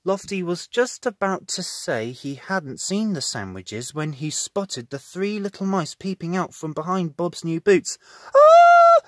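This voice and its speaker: A man narrating a children's storybook in an expressive reading style. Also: a little high, measured pace, hardly any pauses, dry recording.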